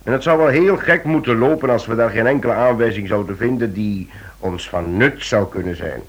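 An actor playing a persuasive police chief in a radio play, speaking deliberately and coaxing with repeated words. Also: male voice, on the low side, husky, rough recording. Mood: explaining. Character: persuasive male police chief pressing a friend for help. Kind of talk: Dutch-language radio play acting.

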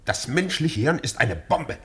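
An actor playing an excitable, officious anarchist secretary in a radio play, declamatory and agitated. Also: male voice, medium pitch, unhurried. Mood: fervent. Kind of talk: German radio play acting.

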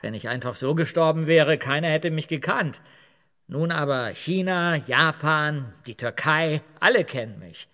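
A man's voice: fairly high pitch, a little slow, pushed and bright, long reverb tail. An actor playing a boastful, unrepentant male serial killer in a radio play, matter-of-fact and self-important. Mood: boastful, excited.

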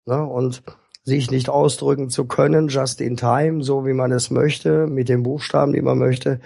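A man speaking as a guest speech therapist, explaining things in a calm, instructive and measured way. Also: mid-range voice, gravelly, breathy edge, dry recording, clean studio sound. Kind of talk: explanatory interview talk.

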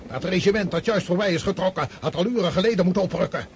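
An actor in a radio drama playing an old, sickly highborn army commander who scolds imperiously. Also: male voice, fast, a little hiss or hum. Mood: furious.

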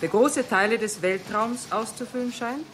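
A woman's voice: on the high side, moderate pace, natural voice. A woman playing a composed, official news announcer, reading a bulletin formally and precisely in a 1960s radio play. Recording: noisy vintage radio recording.

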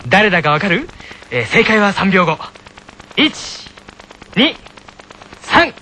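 A man's voice: high-pitched, very animated, slow pace, background noise, exaggerated voice. A man playing an earnest, polite teenage tennis club vice-captain in a comic anime radio sketch. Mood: playful, eager. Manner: polite, eager, self-announcing. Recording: loud music bed behind voice. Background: music.

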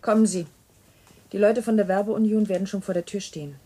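Female office worker acted, mid-pitched, flippant and brisk, teasing her colleague in a seventies science-fiction radio drama. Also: fairly even intonation, dark tone, husky, natural voice, low-quality recording. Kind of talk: 1970s German radio play acting.

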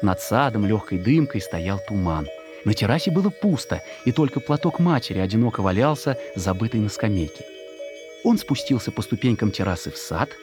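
A man's voice: on the low side, very animated, brisk, music in the background. A man reading a literary story as a narrator, expressively and with emotion, in a scripted dramatic style.

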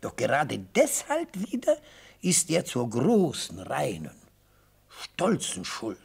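An actor playing an elderly, theatrical defence lawyer in a radio play, speaking in rhetorical, declamatory phrases with savoured pauses and a husky voice. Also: male voice, medium pitch, huge pitch swings, a little mellow, full character voice. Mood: grandiloquent. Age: older. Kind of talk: radio play courtroom oratory. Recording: vintage radio play recording.

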